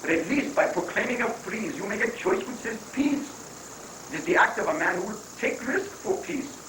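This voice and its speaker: High-pitched coaxing guru, acted by a man. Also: sing-song, moderate pace. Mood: earnest counsel. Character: calm, coaxing male guru advising a president. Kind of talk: dramatic reading of a satirical play. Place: roomy indoor live reading, far from the mic. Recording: roomy, distant, noisy 1980s live recording.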